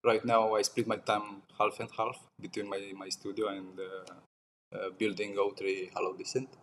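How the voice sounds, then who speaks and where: A man, introducing himself politely as a guest and pausing on fillers. His voice sits fairly low, and faint noise sits behind it. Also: level tone, a bit bright. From Italy. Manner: hesitant, polite, many ums. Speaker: podcast guest, tattoo artist and game developer. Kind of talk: interview answer.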